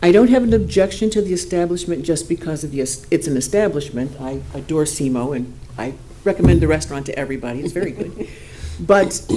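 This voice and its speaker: A woman's voice: on the low side, slight background noise. An older woman, a longtime local resident, giving an earnest, concerned public comment from the podium at a city meeting. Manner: earnest, concerned, naturally loud voice. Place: city council chamber podium.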